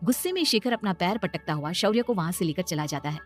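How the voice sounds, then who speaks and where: A woman's voice: lowish voice, expressive, fast talker, faint music behind. A woman reading scripted narration as the storyteller of a moral tale.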